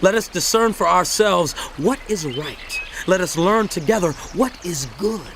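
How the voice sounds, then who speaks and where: An actor playing an earnest, righteous biblical orator in a dramatized audio Bible, speaking emphatically with deliberate, rhetorical pauses. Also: male voice, sits high, expressive, gravelly, noisy background, exaggerated voice. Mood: preaching, insistent. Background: music.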